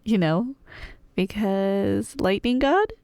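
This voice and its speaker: A woman tells a story as a chatty, playful girlfriend in a casual roleplay. She takes an unhurried pace and keeps a wry, irreverent, conversational tone. The sound is dry, with a medium pitch. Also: darkish tone, smooth, dry recording. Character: chatty, playful, nerdy girlfriend telling myths. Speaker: audio roleplay performer playing a girlfriend. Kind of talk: casual rambling storytelling, scripted ASMR roleplay.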